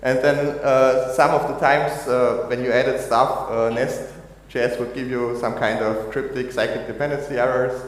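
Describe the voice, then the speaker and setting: A man from Austria giving a technical conference talk, halting with many fillers and restarts. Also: medium pitch, steady tone. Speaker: conference speaker. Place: conference hall. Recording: echoey hall, long reverb.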